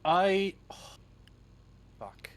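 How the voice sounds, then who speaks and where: A man, chatting casually as a guest, joking and swearing with halting starts. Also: high-pitched, sing-song. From Canada. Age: early twenties. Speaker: interview guest, script writer. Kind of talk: casual chat.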